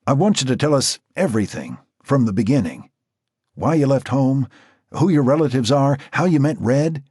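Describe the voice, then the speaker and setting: A man voicing a calm, firm adult man who asks measured questions quietly. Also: mid-range voice, husky, dry recording, clean studio sound, pitched above the performer's own voice.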